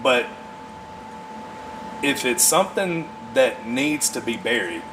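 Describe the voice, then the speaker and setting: A man in his forties talking casually as a podcast guest, in an earnest, halting way as he thinks aloud. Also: medium pitch, very animated, noisy background. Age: 40s. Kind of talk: casual conversation.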